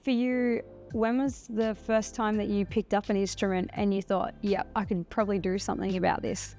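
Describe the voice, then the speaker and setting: A woman's voice: medium pitch, little pitch movement, normal speed, darkish tone, faint music behind. A woman interviewing a musician in a friendly, chatty way. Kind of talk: casual interview.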